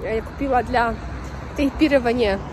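Young woman, high voice, slightly under the weather, casual slangy vlog chat, outdoors, clear traffic noise. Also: moderate pace. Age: young adult. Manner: chatty, informal and slangy. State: slightly unwell with a runny nose. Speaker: vlogger. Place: outdoors on a city street beside traffic. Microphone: phone at arm's length.

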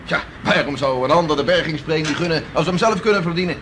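Man playing rough boastful beachcomber, fairly high voice, noisy old radio play. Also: fast, natural voice. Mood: boastful, emphatic. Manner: bluff, emphatic. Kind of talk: 1960s radio play acting.

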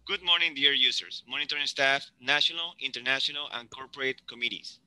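A man's voice: medium pitch, a little fast, pushed and bright, dry recording, low-quality recording. A native Spanish-speaking man co-hosting a formal ceremony, announcing in a formal manner. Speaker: ceremony co-host.

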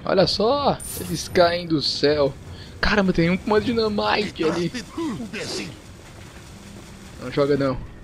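A man's voice: high-pitched, animated, a little slow, long pauses, a crowd in the background. A man with a Brazilian accent giving casual video game commentary as he plays. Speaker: gaming YouTuber. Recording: noisy, game sound behind voice.

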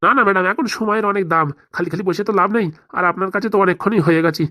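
A woman's voice: mid-range voice, rapid-fire, a bit bright, no echo, studio quality, close to an everyday voice. A woman voicing a fawning, gullible middle-aged male politician in a cartoon, wordy and deferential. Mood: chatty, deferential. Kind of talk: amateur cartoon voice acting.

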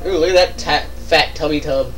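A man's voice: fairly high pitch, steady tone, normal speed. A man chatting casually and jokingly as he plays a game, given to exclamations and mock-dramatic lines. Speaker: gaming video player. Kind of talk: casual joking let's play chat. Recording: distant mic under game music. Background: video game music.

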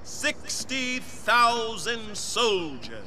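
An actor playing a charismatic gang leader, giving a rousing, declamatory speech in a video game cutscene. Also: male voice, extremely high pitch, sing-song, bright, forceful tone, full character voice. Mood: commanding, proud. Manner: slow, declamatory, drawn-out emphatic phrases. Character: charismatic, commanding male gang leader rallying a crowd.

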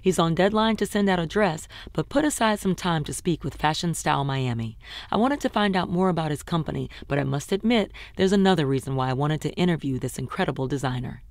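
A woman in her forties hosts a fashion program with a low, polished voice. Her delivery is upbeat and presenter-like, moving between scripted narration and friendly questions. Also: animated, a little fast, no echo. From Alabama, USA. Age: 40s. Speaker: host.

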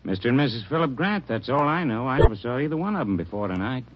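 An actor with an American accent playing a plain-talking, defensive hotel manager in an old radio drama. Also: male voice, mid-range voice, lively intonation, fast talker, slight background noise, lightly acted. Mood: defensive, helpful. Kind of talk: 1950s radio drama acting. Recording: dull old radio recording.

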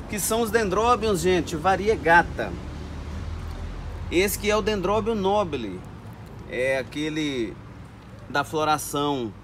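A man chats to the camera from inside an orchid greenhouse, often calling out to his viewers. His voice sits a bit high for a man. Steady background noise runs under the camera mic. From Brazil. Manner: chatty, keeps addressing viewers as "gente". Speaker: orchid grower. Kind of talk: casual talk to camera with growing tips. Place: indoors in a greenhouse full of orchids.

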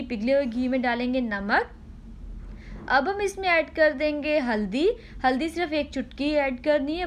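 High-voiced woman. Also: a little hiss or hum. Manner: patient, repeats instructions, frequent "ah" pauses. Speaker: cooking channel host. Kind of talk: step-by-step cooking demonstration.

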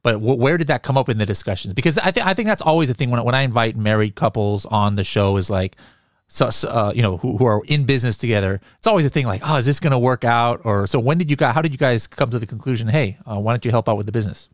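A man's voice: mid-range voice, fast talker, no echo. Upbeat man hosting a podcast, dry studio sound. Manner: upbeat, chatty, joking. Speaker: podcast host. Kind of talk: casual interview chat. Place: home studio with headset and boom mic. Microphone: studio microphone on boom arm, headphones.

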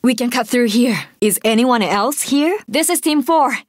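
A woman's voice: on the high side, expressive, normal speed, no room sound, clean studio sound. An actress playing an earnest, resolute young female rescue soldier in a video game, speaking in military callouts. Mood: focused, brisk. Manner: earnest, urgent, military radio phrasing. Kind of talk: video game voice acting, barked combat callouts.

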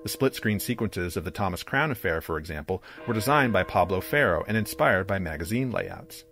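Guy with a lowish voice reading an academic video essay, measured and articulate, bit of music behind. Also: animated, a bit bright. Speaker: presenter, digital comics creator. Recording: music under the voice.

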